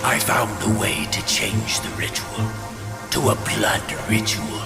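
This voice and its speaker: A man's voice: lowish voice, unhurried, gravelly. A man playing a scheming, grandiose video game villain with a theatrical, menacing delivery and messianic zeal. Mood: cold scheming. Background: music.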